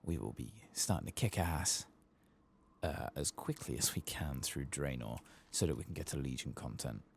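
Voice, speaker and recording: Man, soft ASMR gaming commentary, unhurried, lively pitch swings, close mic, faint background noise. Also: gravelly. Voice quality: soft, partly whispered. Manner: unhurried, murmuring to himself. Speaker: gaming streamer.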